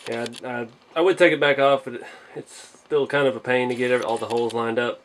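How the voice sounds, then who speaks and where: A man's voice: mid-range voice, fairly even intonation, fast talker, fairly bright tone, a little hiss or hum, low-quality recording. A man hosting a casual hobby build vlog, speaking in a modest, hesitant way as he thinks aloud. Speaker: hobby channel host.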